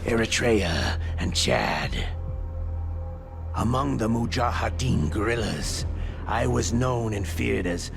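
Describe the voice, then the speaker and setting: An actor playing a sadistic, theatrical Russian veteran interrogator in a video game, gravelly and menacing, savouring his pauses. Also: on the low side, lively intonation, exaggerated voice. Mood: gloating, menacing. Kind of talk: video game cutscene acting. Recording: music under the voice.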